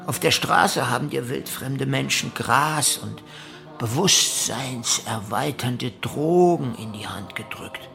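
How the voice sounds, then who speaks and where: A self-absorbed ex-convict cult leader in a radio play, looking back on his drifting life. His medium-pitched voice crackles with gravelly fry, and music plays behind him. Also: slow pace, pushed and bright, full character voice. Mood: wistful, blissful. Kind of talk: German radio play monologue.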